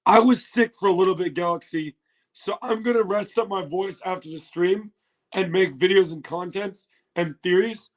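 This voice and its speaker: A hoarse man hosting a casual livestream reaction, excitable and laughing, swearing now and then, recorded on a phone. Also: high voice, normal speed, rough recording. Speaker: livestream host.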